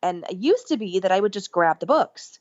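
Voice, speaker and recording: A middle-aged woman, a podcast guest, telling a story in an enthusiastic, rambling way, with lively jumps in pitch. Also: fairly quick, no room sound. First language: English. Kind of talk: casual storytelling interview. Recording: dull lo-fi remote line.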